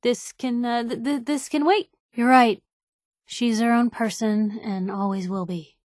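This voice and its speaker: Game companion role: feisty young woman reporter, voiced by an actress, lightly high pitch, wry, guarded, emphatic, naturalistic delivery, very dry recording. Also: fairly even intonation, a little slow, darkish tone, dry recording, close to an everyday voice. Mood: hesitant. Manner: sardonic, emphatic, hesitant when vulnerable. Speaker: video game companion character. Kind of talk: naturalistic game dialogue acting.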